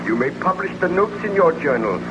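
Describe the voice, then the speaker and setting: A man's voice: fairly high pitch, sing-song, brisk. An actor playing a dying, guilt-ridden nobleman scientist in a theatrical, solemn 1930s radio drama style. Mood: solemn, weary. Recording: noisy, muffled 1930s radio recording.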